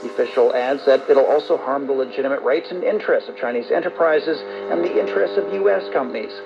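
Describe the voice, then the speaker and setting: A man's voice: mid-range voice, animated, fast talker, vocal fry, over music. A man reading scripted news as an anchor, heard on a very noisy, dull shortwave radio broadcast.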